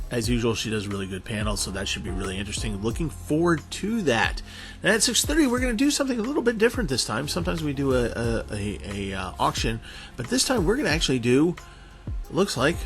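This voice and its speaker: A man's voice: mid-range voice, a bit bright. A man giving a casual, rambling announcement as a show co-host, informal and often stumbling over repeated words. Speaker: online convention panelist and show co-host.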